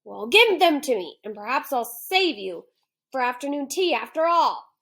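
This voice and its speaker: Storybook lion, male, young, vain and hot-tempered, played by a woman: high-pitched, loud, exclamatory delivery. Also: very animated, normal speed, gravelly, exaggerated voice. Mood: eager. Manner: loud yelling, blustering, exclamatory. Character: angry, vain young male lion, mother-fearing bully. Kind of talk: children's storybook character reading.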